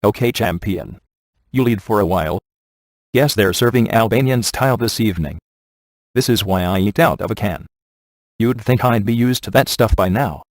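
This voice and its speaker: A man's voice: fairly low pitch, normal speed, dry recording, close to an everyday voice. A man playing a wisecracking, laid-back ghost hunter in a video game, with a dry, sardonic and glib delivery. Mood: wry, glib. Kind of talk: scripted video game character dialogue.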